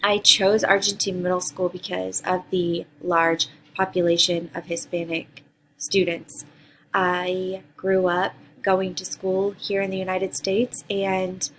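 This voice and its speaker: Low-voiced woman giving a class intro video. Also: a little hiss or hum, low-quality recording. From Costa Rica. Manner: earnest, reads prepared answers with some ums. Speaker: teacher and graduate student. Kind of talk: reading a course introduction video.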